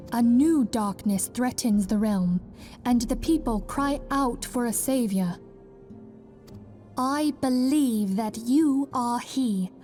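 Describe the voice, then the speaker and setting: A woman's voice: fairly high pitch, warm tone. A woman voicing a solemn, gracious leader of a heroic order in formal, earnest fantasy game dialogue.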